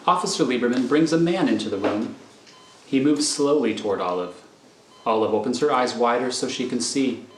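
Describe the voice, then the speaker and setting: A man's voice: medium pitch, normal speed. Male thriller narrator in echoey room. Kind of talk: scripted narration of screenplay directions. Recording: echoey room, clear background noise.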